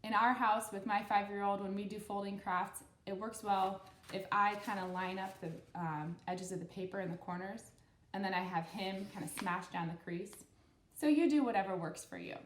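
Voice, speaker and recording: A woman's voice: mid-range voice, moderate pace, slight background noise. Echoey-room woman hosting kids' storytime. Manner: simple, encouraging explanations addressed to children. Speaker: children's storytime host and craft instructor. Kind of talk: read-aloud story and step-by-step craft instruction for kids. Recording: roomy, echoey room sound.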